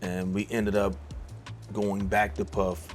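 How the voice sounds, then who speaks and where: A middle-aged man speaks in a low, easygoing voice, full of "you know" and restarts. He tells his story in a relaxed, conversational way. Soft music plays faintly beneath him. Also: slow. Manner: laid-back, many fillers and restarts. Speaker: songwriter guest. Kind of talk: casual interview talk.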